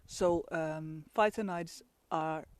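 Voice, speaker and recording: A woman's voice: low-pitched, fairly even intonation, takes it slowly, no room sound. Chatty Finnish-accented woman, dry close sound. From Finland. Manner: chatty, laughs often, many restarts. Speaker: travel vlogger. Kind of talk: casual outdoor vlog chat. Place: outdoors in a pine forest.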